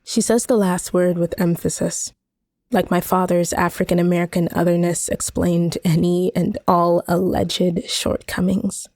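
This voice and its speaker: A woman narrating a first-person short story expressively, with measured, deliberate phrasing. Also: mid-range voice, gravelly, warm tone, husky, dry recording, studio quality.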